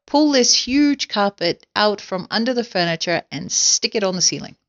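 A woman voicing a bossy, excitable male monkey ringleader in a storybook, giving urgent orders. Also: mid-range voice, moderate pace, dull audio, natural voice. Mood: excited, commanding. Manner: urgent, shouted commands.

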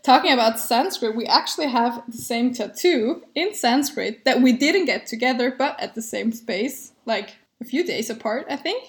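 A woman interviewer with a slightly high voice asks questions in a friendly, complimentary way, laughing often. Her voice sounds roomy, with noticeable echo around it. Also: lively intonation, normal speed, hardly any pauses. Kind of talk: casual interview chat.

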